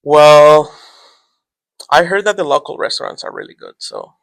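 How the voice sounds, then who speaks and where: Man, Spanish native speaker, teacher in a casual interview, rambling, raspy fry, dry close sound. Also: fairly high pitch, moderate pace, no room sound, low-quality recording. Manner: relaxed, rambling, trailing off with "so".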